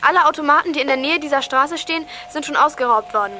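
A woman playing a lively, confident young girl detective in a youth radio play. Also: high voice, quick pace, a little hiss or hum. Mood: reporting.

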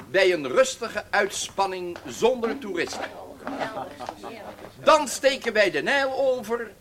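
High, slow, ceremonious club chairman. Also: background noise. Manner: measured, announcing, formal. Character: ceremonious, cordial club chairman addressing the members. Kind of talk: radio drama acting, formal announcement.

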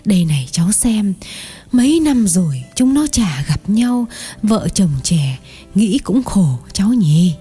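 A woman's voice: medium pitch, big pitch swings, measured pace, mellow, slightly rough, faint music behind, heavily acted. A woman playing a kind-hearted, chatty older village aunt who exclaims and sighs in an audio story. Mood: sympathetic, chatty. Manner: exclaiming, chatty, sighing over misfortunes. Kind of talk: acted dialogue in an audio story.

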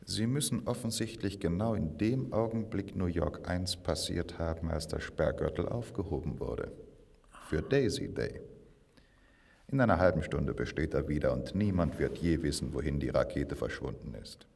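An actor playing a somber doctor and survivor in a German radio play, speaking gravely and deliberately. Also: male voice, a bass voice, animated, darkish tone, full character voice. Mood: wary, practical. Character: somber older male doctor, a survivor in hiding. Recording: long reverberant hall.

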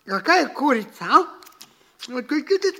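An actor playing a vain, fussy, hungry young gentleman in a theatrical radio play, haughty and exclamatory. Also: very high voice, very animated, measured pace, vocal fry, full character voice. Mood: disgusted grumbling.

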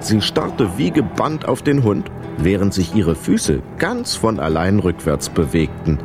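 A man narrating a scripted children's story in an expressive, vivid storytelling manner. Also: low-pitched, unhurried, music in the background.

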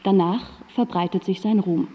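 A woman narrating a radio play as a composed, erudite guide, with measured, articulate and factual delivery. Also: mid-range voice, breathy edge, in a large hall, phone-quality sound, lightly acted.

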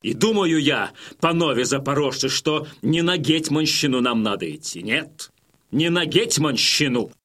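Commanding Cossack chief, somewhat high male voice, oratorical, stern, rousing, audio drama. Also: lively intonation, moderate pace, a bit bright. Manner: declamatory, oratorical.

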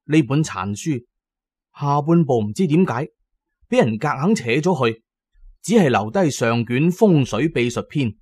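Husky, expressive Cantonese male storyteller. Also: mid-range voice, a little slow, dry recording, studio quality. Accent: Hong Kong or Guangdong Cantonese. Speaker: audiobook narrator. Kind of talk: dramatic scripted storytelling narration.

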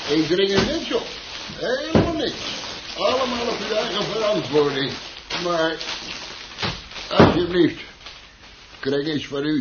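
An actor playing a folksy, insistent man in a radio play, colloquial and deferential in manner. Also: fairly high pitch. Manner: colloquial, deferential, repeatedly saying sir. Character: folksy, insistent man giving away a strange creature. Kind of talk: Dutch radio play acting. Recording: dull, noisy old radio play recording.

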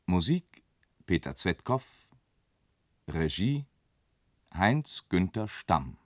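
A man announcing scripted radio play credits in a formal, measured manner. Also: low voice, sing-song.

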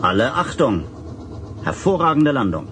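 A man's voice: mid-range voice, sing-song, normal speed, close to an everyday voice. A man acts an old-fashioned, courtly doctor in a radio drama. His intonation rises and falls widely as he banters gallantly. A faint vehicle noise runs behind him. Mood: amused, gallant. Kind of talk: German radio drama dialogue acting.

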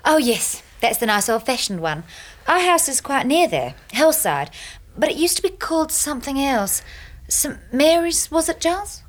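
A woman's voice: high-pitched, very animated, normal speed. An actress playing an earnest, inquisitive young married woman in a radio drama, polite and questioning. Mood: sociable. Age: young adult.

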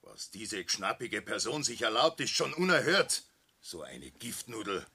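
An actor playing an indignant Austrian village shopkeeper in a radio play, grumbling and spluttering in dialect. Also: male voice, lowish voice, very animated, measured pace, pushed and bright, slightly rough, heavily acted.

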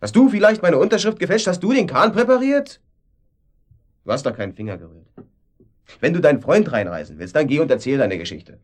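An actor playing a domineering, controlling man in a radio drama, pressing with questions and swinging between sharp and soothing. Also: fairly high pitch, very animated, a little fast. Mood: agitated, challenging. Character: domineering, controlling man, cynical and coaxing, hiding guilt. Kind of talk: naturalistic radio drama acting. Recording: studio radio play.

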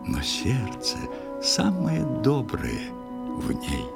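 A man playing an elderly fisherman and foster father in an audio fairy-tale play, stern and gruff but fond. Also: mid-range voice, huge pitch swings, extremely slow, voice pushed up, full character voice. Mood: apologetic, fond. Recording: music under the voice.

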